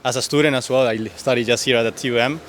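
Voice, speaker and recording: A male student from Honduras talks fast and casually outdoors, with lots of fillers. Light traffic noise sits behind him. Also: mid-range voice, steady tone, a bit bright. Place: outdoors on a city street.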